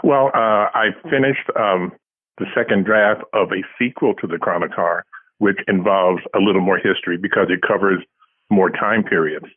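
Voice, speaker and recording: A man's voice: low-pitched, moderate pace. An older man answering interview questions casually as a guest author, over a tinny phone-like line.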